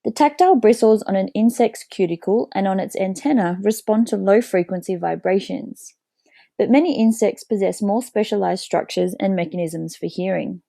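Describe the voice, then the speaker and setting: Woman teacher narrating a biology video, kinda gravelly, dry close recording. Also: medium pitch, normal speed, mellow, no room sound. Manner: plain explanatory delivery. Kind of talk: educational narration.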